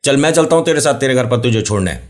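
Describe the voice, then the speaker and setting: A man voicing an elderly village uncle who urgently and insistently scolds a youngster for being out in the dark. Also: low-pitched, moderate pace. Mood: scolding.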